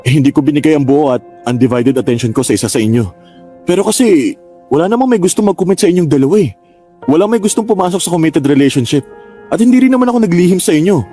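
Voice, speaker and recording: An actor playing an exasperated but frank two-timing suitor in a radio drama, arguing earnestly. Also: male voice, on the high side, animated, fast talker, mellow, soft background music, natural voice. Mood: frank, frustrated. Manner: argumentative, earnest.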